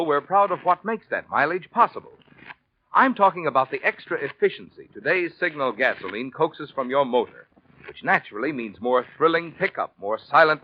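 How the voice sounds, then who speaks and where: A man announcing a 1940s radio commercial with polished, folksy salesmanship. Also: mid-range voice. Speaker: announcer and advertising pitchman. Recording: dull vintage 1940s radio recording.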